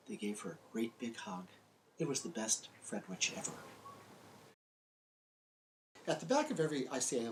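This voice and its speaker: A man's voice: medium pitch, a little hiss or hum, low-quality recording. An older American man, a children's book author, presenting and telling stories to young children in simple, emphatic phrases. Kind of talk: friendly scripted presentation and storytelling for young children. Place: small book-lined room indoors.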